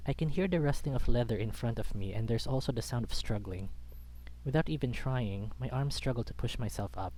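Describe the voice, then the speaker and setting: A man's voice: mid-range voice, a little mellow, poor audio. A man reading a first-person story aloud as a dramatic narrator. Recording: background music under the voice. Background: music.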